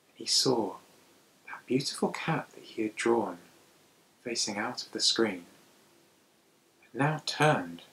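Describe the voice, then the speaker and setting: Theatrical male storyteller with dramatic pauses. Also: lowish voice, creaky, sharp tone, poor audio. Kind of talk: animated folktale narration for children.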